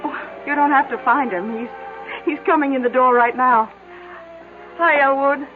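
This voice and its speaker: Woman acting a high-voiced, teasing small-town innkeeper. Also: fairly even intonation, normal speed, close to an everyday voice. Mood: chatty, breezy. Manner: folksy, chatty, needling. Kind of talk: old-time radio drama acting. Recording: tinny vintage radio recording, background music.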